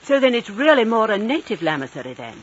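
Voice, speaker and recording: An actress playing a prim, blunt, opinionated spinster missionary in a 1950s radio drama. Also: female voice, a little high, sing-song, quick pace. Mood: prim, inquisitive. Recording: dull, noisy 1950s radio recording.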